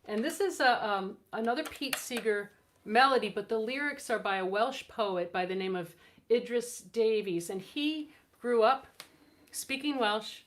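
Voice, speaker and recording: A woman co-hosting a music show, chatting casually between songs in a soft, rambling way with plenty of fillers. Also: fairly high pitch, measured pace, a little hiss or hum.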